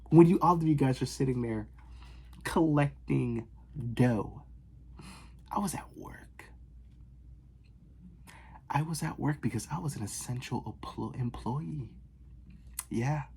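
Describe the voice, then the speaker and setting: A young man from the Boston area, vlogging in casual, sarcastic rambles that swing from loud outbursts to whispers, recorded on his phone in his bedroom. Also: medium pitch, husky. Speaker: fragrance vlogger. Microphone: phone camera.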